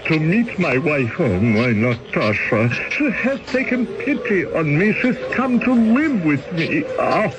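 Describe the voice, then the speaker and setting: A hesitant, yearning exile. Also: male voice, on the high side, very animated, slow, few pauses, low-quality recording. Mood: overjoyed. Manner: wistful, hesitant, full of fillers. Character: restless male exile yearning for money and his wife. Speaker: exiled former official. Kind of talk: 1970s radio drama acting. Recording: hissy old radio broadcast.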